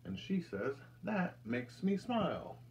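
An American man in his thirties reads a picture book aloud to children with deliberate, expressive storytelling delivery. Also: fairly high pitch, slight background noise. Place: indoors, small room with curtain backdrop.

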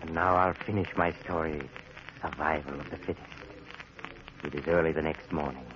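An actor playing a sinister male horror host in a 1940s radio drama, telling the story slowly and ominously. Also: a bass voice, steady tone. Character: sinister male host Doctor Weird, deep and mocking. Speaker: host narrator. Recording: dull old radio broadcast with hiss.

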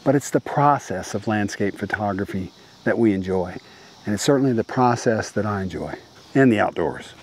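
A man's voice: on the low side, expressive, moderate pace, a little hiss or hum. A middle-aged man vlogging landscape photography tips outdoors at dusk, talking casually with lots of fillers and restarts.

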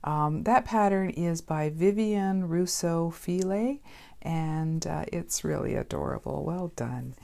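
Low, mellow Canadian woman chatting. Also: takes it slowly, vocal fry. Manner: chatty, with many ums and uhs. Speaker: podcast host. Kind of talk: casual podcast chat. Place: home craft studio, indoors.

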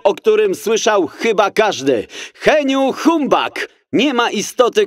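Man as a high-pitched, showy dolphin announcer. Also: no room sound, clean studio sound. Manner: showy, hyping announcer delivery. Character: excited male dolphin announcer at a jumping contest. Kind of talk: sports announcing in an audiobook.

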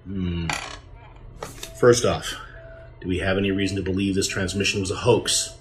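Guy playing a serious president in a crisis, fairly deep, measured and pondering, bit of hiss. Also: fairly even intonation, close to an everyday voice. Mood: grave. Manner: deliberate, authoritative, pondering. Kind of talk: science fiction audio drama acting.

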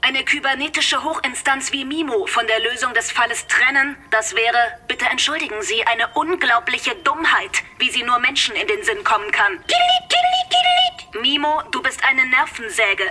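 An actress voicing a brisk, haughty cybernetic nurse who gives crisp clinical reports in a radio play. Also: female voice, sits high, bright, forceful tone, soft background music, full character voice.

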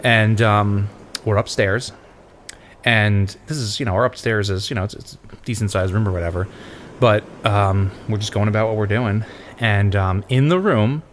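A man's voice: fairly low pitch, slight background noise. A middle-aged man co-hosting a podcast, chatting casually and rambling through a story with many fillers. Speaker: podcast co-host. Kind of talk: casual chat.

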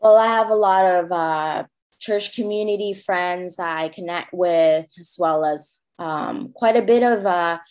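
A woman from Hong Kong with a Cantonese accent, speaking English as a second language as an interview guest, halting with many fillers and restarts. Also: lowish voice, a little mellow, clear, resonant tone. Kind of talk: interview conversation.

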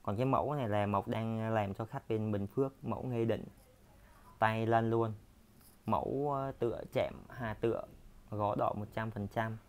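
Chatty man, low voice. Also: smooth. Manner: chatty, repetitive, filler-heavy. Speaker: furniture workshop seller. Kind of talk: casual sales walkthrough. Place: furniture workshop floor.

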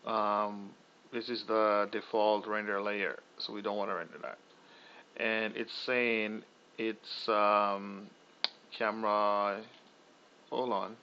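A young man casually talking through a software tutorial, thinking aloud with fillers and restarts. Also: fairly low pitch, level tone, slow pace, clean tone. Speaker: tutorial host. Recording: slightly dull lo-fi audio.

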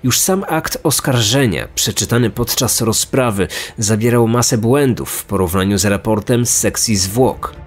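A man narrates a true-crime story from a script. His voice sits at a medium pitch, with music playing faintly behind it. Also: breathy edge. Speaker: narrator, podcast host. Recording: music under the voice. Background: background music.

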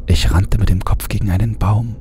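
A man narrating a horror audiobook in a hushed voice that often drops to a half-whisper. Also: on the low side, steady tone, moderate pace. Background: music bed.

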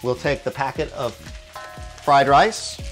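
Calm man teaching a cooking demo. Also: mid-range voice, slow. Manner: calm step-by-step instruction with small restarts. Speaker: cooking show host. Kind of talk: cooking demonstration. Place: home kitchen indoors. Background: soft music and sizzling wok.

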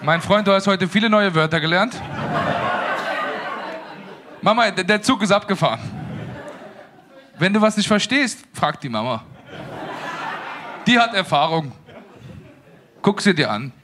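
A young man performs stand-up into a handheld mic on a small stage, his fairly high voice leaping up and down as he acts out little scenes. Faint noise sits behind him. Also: measured pace, long pauses, a crowd in the background. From Afghan family in Germany. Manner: animated, acts out dialogues with put-on voices. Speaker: stand-up comedian. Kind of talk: stand-up comedy set. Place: small stage with living-room set before a live audience.

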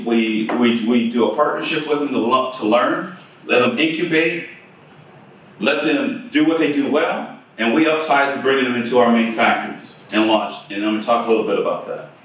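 A man's voice: medium pitch, normal speed, distant mic. Man giving a keynote in an echoey room. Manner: conversational, asks audience questions. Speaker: keynote speaker. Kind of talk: conference keynote talk. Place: conference room with projector screen.

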